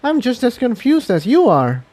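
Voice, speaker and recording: A man voicing a gentle, curious female pony with a wistful, inquiring manner in a fantasy story. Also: very high voice, wildly swooping pitch, moderate pace, no room sound, pitched above the performer's own voice, exaggerated voice. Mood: puzzled.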